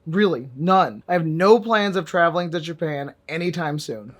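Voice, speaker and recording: A man's voice: a little high, moderate pace, a bit bright. A man vlogging a casual app review in a wry, joking way, with sudden emphatic bursts. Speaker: VR fitness and language vlogger.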